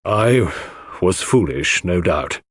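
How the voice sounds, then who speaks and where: Man voicing a murmuring, low, sullen suspect. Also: lively intonation, slow pace, husky, no echo, studio quality, exaggerated voice. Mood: aggrieved. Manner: murmuring, aggrieved. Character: sullen, wronged male suspect.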